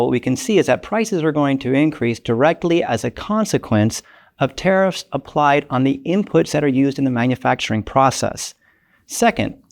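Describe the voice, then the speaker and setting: An older male economist speaks in a measured, formal way, as if giving a lecture. His voice has a gravelly edge, and his pitch sits in the middle range for a man. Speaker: economics professor. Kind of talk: prepared explanatory talk.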